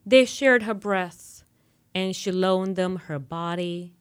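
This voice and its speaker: A woman from Romania speaks English with a Romanian accent, her voice smooth and somewhat low. She talks with frequent pauses and restarts, then reads poetry slowly and deliberately. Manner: hesitant, restarts often when speaking freely; slow and deliberate when reading poems. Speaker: poet guest. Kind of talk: interview talk and poetry reading.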